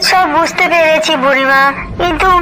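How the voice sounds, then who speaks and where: A woman's voice: high voice, moderate pace, music in the background, voice pushed up, lightly acted, low-quality recording. A woman voicing a protective, concerned village mother in a fairy-tale cartoon. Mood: firm concern.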